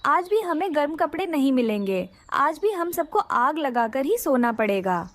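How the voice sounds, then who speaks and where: A woman playing a gentle, practical mother bird in a cartoon story, polite and earnest. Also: high-pitched, very animated, normal speed, no echo. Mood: concerned.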